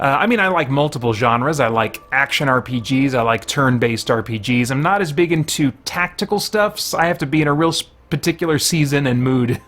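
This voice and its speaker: Higher-voiced man giving casual game commentary. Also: fairly bright tone. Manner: conversational, thinking aloud. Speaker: host of a game review and playthrough series.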